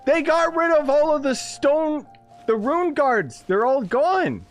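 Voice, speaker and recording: A man hosting a let's-play video and commenting on the game in an excitable, jokey way, often breaking into exclamations. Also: very high-pitched, takes it slowly, soft background music. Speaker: gaming video host.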